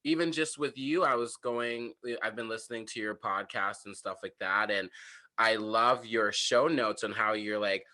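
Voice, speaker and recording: A man hosting a casual home podcast, with a very bright, ringing tone. He talks in animated bursts full of "like" and "you know", often breaking into laughter. It sounds like casual chat recorded from a bedroom. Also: on the low side, normal speed. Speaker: podcast host and comedian.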